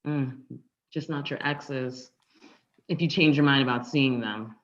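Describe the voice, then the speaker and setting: A woman's voice: very deep voice, a little slow, highs cut off. A woman playing a confrontational, wounded ex-girlfriend in a conversational table read, pointed and sarcastic. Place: online live stream table read.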